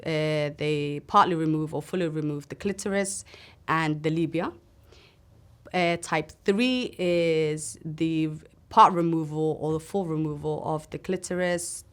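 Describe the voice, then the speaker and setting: A woman from Somalia telling her own story in a sit-down interview, in an earnest, halting way. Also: low voice, clear, resonant tone. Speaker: interview guest. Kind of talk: personal testimony in a sit-down interview.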